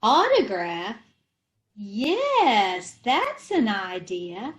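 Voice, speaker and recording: A woman playing a slow, syrupy scatterbrained wife. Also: mid-range voice, wildly swooping pitch, exaggerated voice. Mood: sweetly dotty. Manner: slow, dotty, syrupy sweet. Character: scatterbrained sweet-talking wife Jane. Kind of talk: amateur old-time radio play reading.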